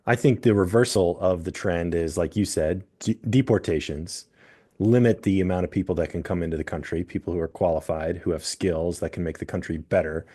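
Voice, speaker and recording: A man hosting a podcast, explaining his views at length in a measured, steady way. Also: low voice. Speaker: podcast host. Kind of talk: casual political discussion.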